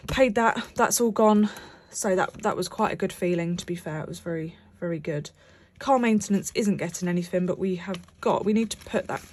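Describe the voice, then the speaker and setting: A young British woman talks casually and at length about her money, with plenty of ums and restarts. Her voice sits a little on the low side. She sounds slightly bunged up, as if she has a cold. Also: darkish tone. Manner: chatty, rambling, many fillers and restarts. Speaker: budgeting vlogger. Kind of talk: casual chat to camera while cash stuffing.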